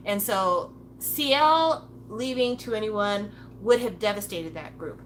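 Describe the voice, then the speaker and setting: A woman's voice: mid-range voice, a little slow, slight background noise, rough recording. A woman giving a casual, blunt opinion monologue, swearing freely and breaking into playful impressions of other people. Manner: acts out playful voices of others. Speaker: commentator.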